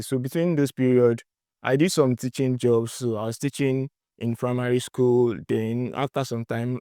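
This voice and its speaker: A young Nigerian man speaks as a student interviewee, his voice smooth and clear. He wanders through his answers with pauses and fillers, and the sound is dry and close. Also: mid-range voice, a little mellow, no room sound. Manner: hesitant, rambling, many fillers.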